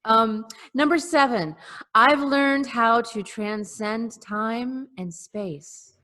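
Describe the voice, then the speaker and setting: Bright-voiced woman in a very echoey room. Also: fairly high pitch, very slow. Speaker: podcast co-host. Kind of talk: comic list reading and casual chat on a podcast. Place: remote group video call. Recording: roomy video call with long reverb.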